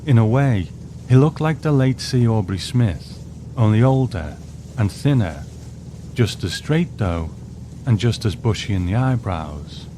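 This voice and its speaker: A man's voice: on the low side, expressive, unhurried, background noise. A man hosting and narrating a scripted review with story readings. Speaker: host and narrator.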